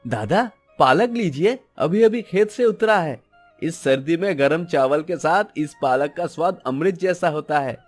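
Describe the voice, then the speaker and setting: High-voiced, polite cartoon vegetable seller played by a man. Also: animated, unhurried, faint music behind. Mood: gentle, reassuring. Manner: polite, reassuring. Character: honest, gentle young male vegetable seller. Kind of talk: cartoon story acting.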